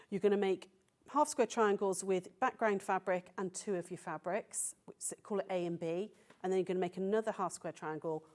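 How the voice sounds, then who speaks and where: Croaky woman teaching quilting. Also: on the low side, a little mellow. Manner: patient step-by-step instruction with frequent "okay?" checks. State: croaky from a cold. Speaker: quilting demonstrator. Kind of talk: sewing demonstration. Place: TV studio.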